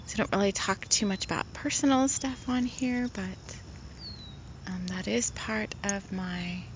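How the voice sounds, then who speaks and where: Slow-talking female spiritual healer, soft and meandering, medium pitch. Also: steady tone, slight background noise. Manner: rambling, soft-spoken, many fillers. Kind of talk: casual spiritual talk.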